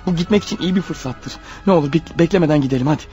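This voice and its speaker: A man's voice: on the high side, racing, mellow, faint music behind, close to an everyday voice. A man acting in a radio play as a panicky, paranoid killer husband on the run, stammering and muttering anxiously to himself. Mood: desperate. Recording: dull lo-fi radio drama recording.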